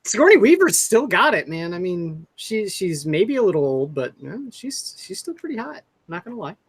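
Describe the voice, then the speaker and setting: Male podcast panelist, raspy tone, close dry sound, hesitant and informal. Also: sits high, very animated, normal speed, dry recording. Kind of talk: casual chat.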